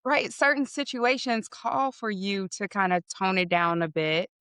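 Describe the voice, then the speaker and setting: A woman guest with a deeper voice, explaining in a measured way and often checking with "right?". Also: expressive, fairly bright tone, clean tone, no room sound, clean studio sound. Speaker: podcast guest. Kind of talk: casual interview conversation.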